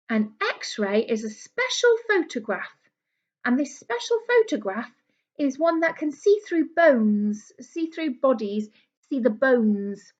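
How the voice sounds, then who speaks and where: A woman, a primary teacher reading a storybook aloud to young children and explaining it simply with questions. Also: sits high. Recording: dull lo-fi small camera recording.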